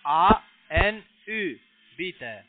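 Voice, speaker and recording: A man who is not a native speaker, giving instructions on a phone call in halting, broken sentences as a call centre agent. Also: sits high, sing-song. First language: not German. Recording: tinny narrowband phone line.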